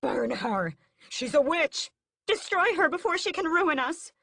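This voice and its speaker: A woman voicing a mob of furious villagers in an audiobook, with shrill shouting. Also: big pitch swings, unhurried, creaky, dry recording, studio quality, full character voice.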